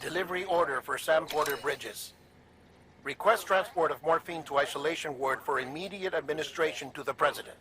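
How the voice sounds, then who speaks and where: An actor playing a composed, earnest official in a cinematic video game, speaking in a polite, deliberate way with pauses. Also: male voice, fairly high pitch, noisy background, natural voice. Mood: solemn, formal. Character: composed, earnest male official of a relief organisation.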